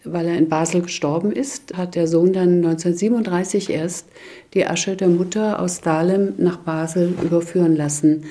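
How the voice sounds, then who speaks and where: Woman expert interviewed, thoughtful and deliberate, lowish mellow voice. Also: steady tone. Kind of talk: reflective interview.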